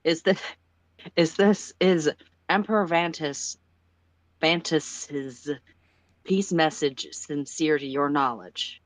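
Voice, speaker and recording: A reader voicing a middle-aged male former president, halting and stately, in a story read aloud. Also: mid-range voice, dry recording, close to an everyday voice. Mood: measured, solemn.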